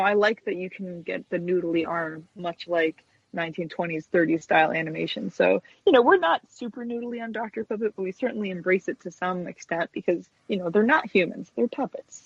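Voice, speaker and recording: A woman's voice: lowish voice, brisk, gravelly, husky, dry recording. A chatty woman guest, an animator explaining her craft casually in an interview over dull web audio. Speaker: guest stop-motion animator and puppet maker.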